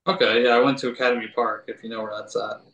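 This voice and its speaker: A man, hosting a relaxed, chatty interview and trailing off mid-sentence. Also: medium pitch, fast, highs cut off. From Delaware County, Pennsylvania. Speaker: podcast host. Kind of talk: casual interview chat. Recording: roomy, from a distance.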